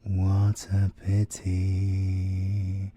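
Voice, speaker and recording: A British man playing a possessive, scheming siren in an ASMR audio roleplay, seductive and theatrical, with drawn-out words and whispers. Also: sits low, completely flat delivery, warm tone, full character voice. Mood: menacing, singsong. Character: possessive, scheming male siren, obsessive lover and villain.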